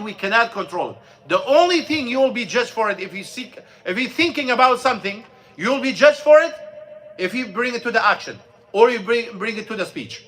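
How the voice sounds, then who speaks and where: High bright-voiced man teaching a class. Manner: plain, repetitive explaining with restarts, asks the class questions. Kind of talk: informal religious lesson. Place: mosque classroom.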